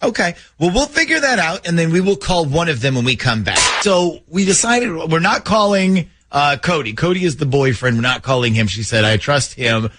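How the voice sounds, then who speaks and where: A man's voice: a little high, big pitch swings, moderate pace, few pauses, fairly bright tone, slight background noise. A man hosting a morning radio show, bantering his way through a prank phone call. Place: radio studio.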